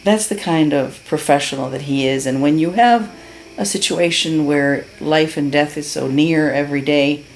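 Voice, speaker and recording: A woman's voice: low-pitched, normal speed, soft background music, rough recording. Earnest middle-aged Cuban-born woman. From Cuba. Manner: earnest, warm, with frequent fillers and restarts. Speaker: patient giving a testimonial. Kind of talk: unscripted testimonial.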